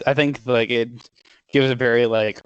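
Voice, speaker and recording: A man hosting a casual interview, talking excitedly with lots of filler words. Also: mid-range voice, normal speed, clear, resonant tone, muffled recording. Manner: enthusiastic, lots of "like" and "dude". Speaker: interview host.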